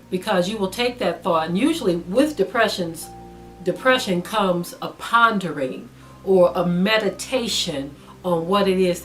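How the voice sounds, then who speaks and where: A middle-aged woman giving a spiritual teaching talk in a measured way, often pausing with ums. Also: fairly low pitch. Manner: measured, with frequent pauses and ums. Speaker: Bible teacher.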